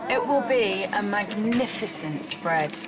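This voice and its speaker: A woman's voice: mid-range voice, animated, takes it slowly, very noisy. A boastful woman at dinner. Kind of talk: TV soap acting.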